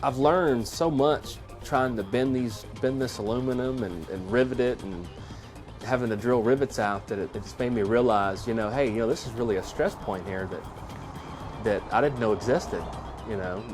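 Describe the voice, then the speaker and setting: A man talking casually in an interview from his home garage, halting with many restarts. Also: mid-range voice, a little mellow, soft background music. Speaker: interviewee, engineer building a kit plane.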